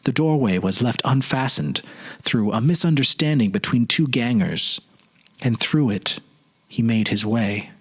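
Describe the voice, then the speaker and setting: A man giving a dramatic literary narration in a solemn, measured, pensive manner. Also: medium pitch, breathy edge. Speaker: narrator, series producer and presenter.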